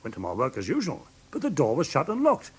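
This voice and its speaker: Flustered husky English pawnbroker, lively pitch. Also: male voice, fast. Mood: plaintive. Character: indignant, simple-minded London pawnbroker, wronged and fussy. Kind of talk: classic British radio drama acting.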